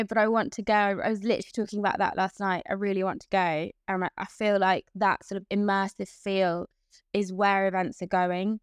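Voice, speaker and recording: Young woman, even and level delivery, dry close sound; chatty interview guest. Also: mid-range voice, clear, resonant tone, no echo. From Hong Kong. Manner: chatty, with frequent fillers and restarts. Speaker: guest, events professional. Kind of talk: casual interview.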